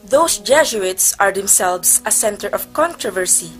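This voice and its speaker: A woman's voice: mid-range voice, animated, measured pace, soft background music, lightly acted, poor audio. A woman playing a haughty, suspicious nun in a stage play, with scornful and emphatic delivery. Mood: suspicious, disapproving. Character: haughty, suspicious nun sneering at Teresa's arrogance. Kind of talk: amateur stage play acting.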